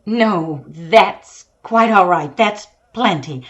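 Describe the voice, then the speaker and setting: A woman's voice: on the low side, animated, drawn-out words, fairly bright tone, highs cut off, full character voice. A woman playing an earnest female radio news reporter in a 1930s-style broadcast drama, describing a scene with dramatic deliberation. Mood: politely impatient. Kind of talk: 1930s radio newscast acting.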